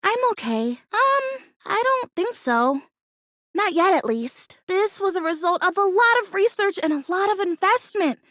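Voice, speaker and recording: Game role: an earnest, optimistic young female houseplant, extremely high voice, lively intonation, gushing and chatty. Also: unhurried, no echo, clean studio sound, full character voice. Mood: disappointed but resilient. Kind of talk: animated video game character acting.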